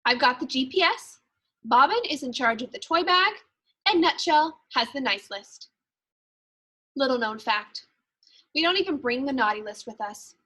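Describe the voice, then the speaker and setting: Woman reading as a high, chipper little Christmas elf. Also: animated, moderate pace, pauses often, pushed and bright, heavily acted, poor audio. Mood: upbeat, confiding. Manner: chipper, punctual, confiding asides. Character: eager, fretful little male Christmas elf narrating his memoir. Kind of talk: children's storybook read-aloud.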